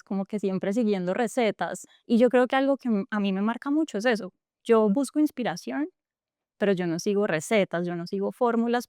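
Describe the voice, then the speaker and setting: A woman's voice: medium pitch, a little mellow, clean tone, dry recording, studio quality. Reflective Colombian woman with a coffee-region accent. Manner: earnest and reflective, frequent fillers and restarts. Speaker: guest, tech entrepreneur. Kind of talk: reflective conversational interview.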